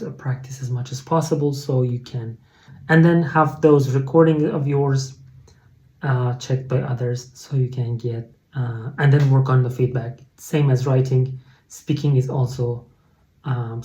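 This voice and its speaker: A man with a Persian accent gives an online test-prep lesson in English, his second language. His voice is mellow and dark, at a middle pitch. He explains step by step, with plenty of fillers and restarts along the way. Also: steady tone, moderate pace, highs cut off.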